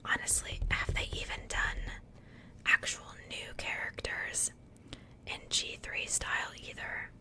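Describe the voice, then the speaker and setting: A woman speaking in a breathy, unvoiced whisper as an ASMR host, chatting casually and unhurriedly as she comments on an unboxing. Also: unhurried.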